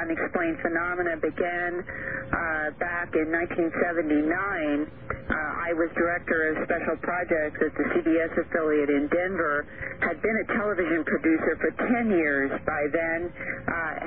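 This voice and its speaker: A middle-aged woman calling in as a guest reporter, speaking softly and in measured detail. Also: low-pitched, slight background noise. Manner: soft-spoken, detailed and measured. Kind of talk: radio interview, recounting her investigation. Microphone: phone call. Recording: tinny phone line on 1990s radio.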